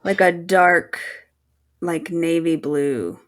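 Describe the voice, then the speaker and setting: A woman's voice: on the low side, takes it slowly. A woman co-hosting a podcast in casual, conversational chat. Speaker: podcast co-host.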